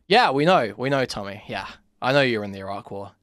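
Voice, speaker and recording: A man's voice: mid-range voice, expressive, a little fast, fairly bright tone, no room sound, clean studio sound. A man in his thirties, a streamer, talking in a sarcastic, mocking way while reacting to a clip. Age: 30s. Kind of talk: casual livestream commentary.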